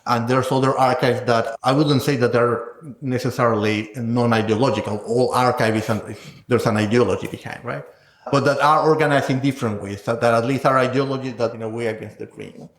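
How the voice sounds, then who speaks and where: A man's voice: medium pitch, fairly even intonation, brisk. A man speaking as an interviewed scholar, explaining ideas conversationally in a thoughtful way and checking in with small tag questions. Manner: thoughtful, explanatory, tags phrases with "no?" and "right?". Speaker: sound scholar, interviewed guest. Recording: echoey room.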